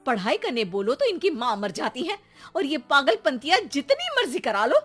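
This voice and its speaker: A woman playing an exasperated, sharp-tongued Indian mother who scolds and nags with theatrical sarcasm in a comic audio drama. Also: sits high, sing-song, normal speed, faint music behind, voice pushed up. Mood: scolding.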